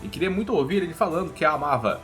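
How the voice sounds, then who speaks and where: A man's voice: fairly high pitch, animated, rapid-fire, a bit bright, faint music behind. A man with a Brazilian accent narrating a scripted story recap in informal, colloquial storyteller phrasing.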